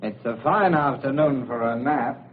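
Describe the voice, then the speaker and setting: A man's voice: medium pitch, normal speed, husky, close to an everyday voice. A man acting a breezy, insolent young upper-class English son in an old-time radio drama. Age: young adult. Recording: tinny, hissy old radio recording.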